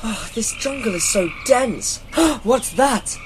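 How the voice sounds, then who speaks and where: Man playing a high-voiced cowardly adventurer. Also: expressive, slow, background noise, heavily acted. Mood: anxious wonder. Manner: fretful, exclaiming. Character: cowardly, hapless young male adventurer talking to himself. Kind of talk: amateur comic radio play acting. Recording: music bed behind the voice.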